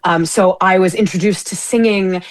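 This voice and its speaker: A woman's voice: lowish voice, fairly even intonation, moderate pace. A woman, a singer and stage performer, chatting as a guest on a radio interview in a bubbly, effusive way, full of fillers and restarts. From United States. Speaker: guest, opera singer and musical theatre performer. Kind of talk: casual interview chat.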